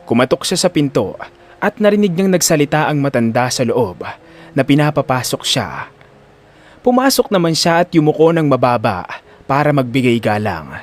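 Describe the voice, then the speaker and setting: A man's voice: medium pitch, very animated, normal speed. A man narrating a scripted audio drama in a dramatic storytelling style.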